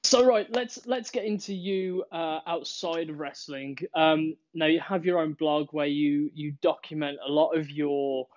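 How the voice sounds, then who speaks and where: A man hosting a podcast, asking interview questions in a measured way with frequent fillers. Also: fairly high pitch, a little mellow. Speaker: podcast host. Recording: dull lo-fi podcast audio.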